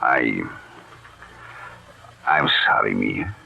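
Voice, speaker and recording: An actor playing a smooth, evasive villainous doctor in an old radio drama, speaking with deliberate formality. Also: male voice, rumbling low, lively intonation, full character voice. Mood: grave, controlling. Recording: muffled, lo-fi old radio recording.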